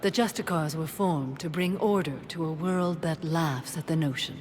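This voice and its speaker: A woman's voice: deep voice, moderate pace, a little mellow, a little hiss or hum, lightly acted. An actress playing a stoic alien warrior-monk woman in a video game, serene, grave and formal. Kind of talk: cinematic video game acting. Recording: long hall reverb.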